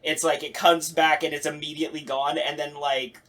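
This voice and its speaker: A man's voice: a little high, flat delivery, moderate pace, a bit bright. A man hosting a casual podcast chat, telling stories animatedly and acting out imagined reactions. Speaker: podcast host. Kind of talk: casual chat about radio plays.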